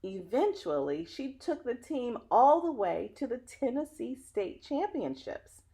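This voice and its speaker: A woman's voice: a little high, very animated, unhurried, dark tone. A woman reading a children's story aloud like a teacher, with dramatic phrasing and an engaging way of addressing young listeners.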